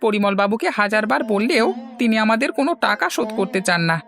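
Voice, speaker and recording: A woman's voice: medium pitch, fast, fairly bright tone, soft background music, close to an everyday voice. A woman voicing an aggrieved, hard-worked village labourer man in a cartoon, complaining indignantly. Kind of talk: cartoon dialogue.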